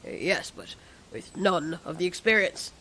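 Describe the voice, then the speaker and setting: A woman's voice: lowish voice, sing-song, measured pace, fairly bright tone, voice pushed down, heavily acted, poor audio. A woman voicing a grumpy old male giant monster, blunt, crude and scornful, in an audio drama. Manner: crotchety, blunt.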